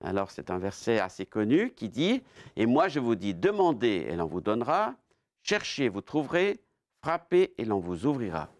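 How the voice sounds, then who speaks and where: A man's voice: medium pitch, very animated, normal speed. A man hosting a television interview in a conversational style, putting short questions and prompts to his guest. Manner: short prompts and frequent backchannels. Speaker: TV interview host. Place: TV studio set.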